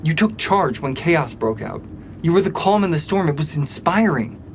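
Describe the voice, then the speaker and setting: A man's voice: fairly high pitch, expressive, moderate pace, slight background noise. A man playing an eager rookie police officer in an audio drama, speaking in an earnest, awestruck way. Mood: admiring. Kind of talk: scripted audio drama acting.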